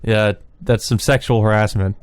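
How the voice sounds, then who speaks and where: A woman's voice: very deep voice, fairly even intonation, normal speed, a little mellow, clear, resonant tone, no room sound. A woman reading visual novel narration aloud with casual commentary of her own. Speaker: let's player.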